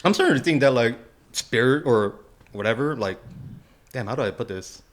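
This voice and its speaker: Male co-host, lively swooping intonation, middle pitch, rambling informal podcast chat. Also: moderate pace. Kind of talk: casual chat.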